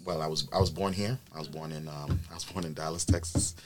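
New york guy with a really low voice, laid back, lots of um and like, just chatting on a podcast. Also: fast, crowd noise. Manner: relaxed storytelling with many fillers. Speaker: event planner guest. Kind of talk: casual podcast chat.

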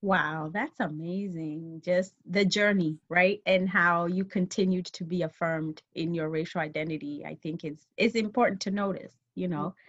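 A woman's voice: lowish voice, steady tone, normal speed, hardly any pauses, fairly bright tone, no room sound. Woman host, dull dry sound. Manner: affirming, conversational. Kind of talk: podcast interview.

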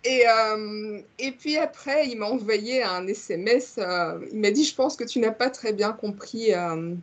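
A woman co-hosting a podcast, telling a story in a lively, chatty way with many fillers. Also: mid-range voice, unhurried. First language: multilingual, grew up in Congo and Belgium. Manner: animated storyteller, frequent fillers, laughs easily. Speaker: podcast co-host. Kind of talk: casual chat, telling an anecdote.

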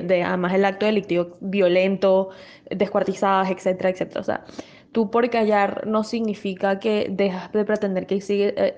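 A woman's voice: medium pitch, dull audio. Earnest young woman. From Venezuela. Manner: earnest, animated, quick restarts. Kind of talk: casual discussion.

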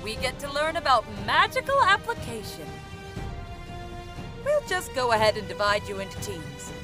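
An actor playing an excitable, dramatic instructor at an adventure academy in an audio drama. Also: mid-range voice, moderate pace, lightly acted. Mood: brisk, instructive. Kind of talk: audio drama teaser acting. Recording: music under the voice. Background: music.

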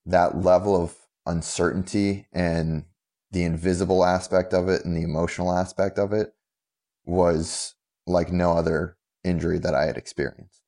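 A young man, a former athlete, answering interview questions in a measured, methodical way. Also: low voice, little pitch movement, mellow.

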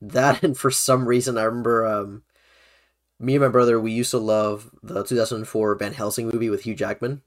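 A man's voice: mid-range voice, steady tone, brisk. Young man hosting a casual film chat. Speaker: podcast host. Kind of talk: casual chat about films.

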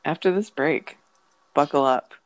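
Congested low-voiced woman. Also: measured pace, rough recording. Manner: joking, wry asides. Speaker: podcast co-host. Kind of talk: casual chat.

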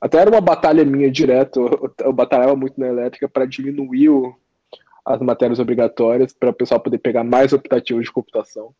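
A man's voice: mid-range voice, fairly even intonation, quick pace, mellow, dry recording. Man, young adult, hesitant and reflective, dry, muffled remote recording. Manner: reflective, hesitant with many restarts. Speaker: podcast guest. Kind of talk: casual interview answers. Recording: dull lo-fi dry sound.

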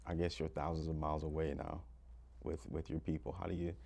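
A man's voice: very deep voice, level tone, a little fast, gravelly, mellow. A man hosting an interview, asking questions in a casual, conversational way. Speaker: podcast host, interviewer.

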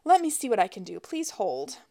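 A woman's voice: big pitch swings, a little fast, gravelly, dark tone. A woman narrator voicing a polite female customer service representative with a syrupy-sweet, scripted manner. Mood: nervously polite. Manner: scripted, high-pitched, saccharine politeness.

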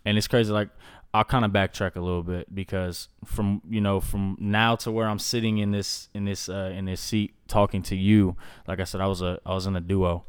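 A man in his twenties with a deep baritone voice, a guest chatting casually in an interview, rambling with many fillers. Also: little pitch movement, a little fast, hardly any pauses, smooth, dry recording, clean studio sound. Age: 20s. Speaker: guest, recording artist.

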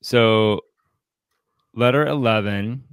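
Low-voiced man chatting in a close, dry recording. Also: slow pace, clean tone, dry recording. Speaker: podcast co-host. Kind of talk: casual book discussion.

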